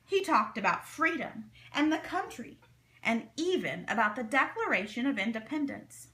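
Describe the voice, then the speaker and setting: A woman reading a children's story aloud in an expressive, deliberate, dramatic way. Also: a little high. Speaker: storybook reader.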